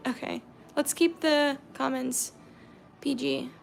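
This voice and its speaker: Young American woman from Georgia, high-pitched and very gravelly, chatty livestream host who murmurs while she paints. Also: steady tone, slow, soft background music. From Georgia, USA. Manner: chatty, reads viewer comments aloud, drops to soft murmurs while painting. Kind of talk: casual livestream chat.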